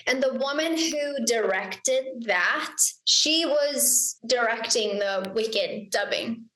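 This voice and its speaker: A woman's voice: fairly high pitch, expressive, takes it slowly, sharp tone, reverberant, poor audio. A young Icelandic woman, a singer and songwriter, chatting as a guest in a casual interview, bubbly and giggly, often quoting herself.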